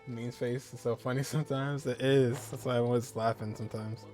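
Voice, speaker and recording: A man's voice: mid-range voice, steady tone, brisk, darkish tone. A man giving casual gameplay commentary as a streamer, repeating himself in muttered asides. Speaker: gaming streamer. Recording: faint game music behind.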